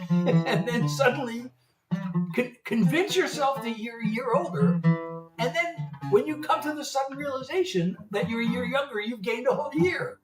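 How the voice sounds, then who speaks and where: A man in his seventies, a singer-songwriter rambling in casual livestream chat and laughing often. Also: extremely high pitch. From United States. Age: 70s. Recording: roomy, distant mic, guitar music behind.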